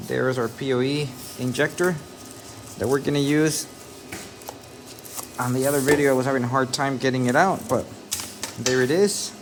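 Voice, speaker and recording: A man's voice: medium pitch, fairly even intonation, moderate pace, pauses often. A man giving a casual, explanatory walkthrough of installation work, speaking informally and directly to viewers as an installer. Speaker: installer narrating job site video. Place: work site, partly outdoors. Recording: noisy job-site ambience, vehicle noise.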